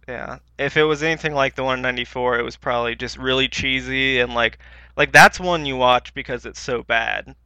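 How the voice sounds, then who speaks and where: A man's voice: medium pitch, brisk, pushed and bright, clean tone, no echo. A man co-hosting a podcast in casual, slangy, informal chat, on a slightly dull recording. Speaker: podcast co-host. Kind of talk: casual chat about pop culture.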